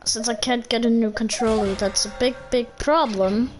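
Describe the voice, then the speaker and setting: A woman's voice: on the high side, fairly even intonation, moderate pace. A woman doing casual gameplay commentary as a streamer, complaining in a blunt way. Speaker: game streamer. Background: game music.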